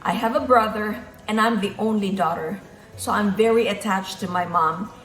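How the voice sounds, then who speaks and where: Filipino woman, earnest motivational speaker, leans on repetition, mid pitch, reverberant room. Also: normal speed, fairly bright tone, faint music behind. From Philippines. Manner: earnest, repeats key phrases for emphasis. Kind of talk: motivational talk.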